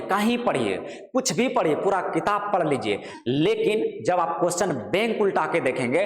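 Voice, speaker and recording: A man with a Bihari accent teaching an exam-prep lesson to students, repeating himself and often adding check phrases. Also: sits high. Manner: repetitive, with frequent check phrases. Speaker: history teacher. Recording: echoey hall-like reverb.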